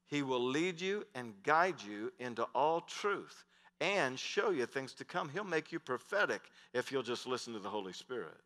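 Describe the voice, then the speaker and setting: A middle-aged man preaching a sermon on a large church stage, switching between loud emphatic lines and hushed ones. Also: mid-range voice. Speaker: pastor. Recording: long hall reverb.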